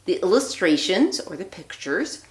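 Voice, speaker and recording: A woman teacher reading a children's picture book aloud, phrasing deliberately and expressively with emphasis on the rhymes. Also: fairly low pitch, very animated. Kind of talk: read-aloud storytelling for young children. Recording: echoey room.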